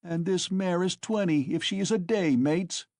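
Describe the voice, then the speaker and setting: A man's voice: high-pitched, moderate pace, a little mellow, no echo, studio quality, voice pushed up. A man voicing a mocking male peasant jeering from a crowd in an audiobook. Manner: mocking, incredulous.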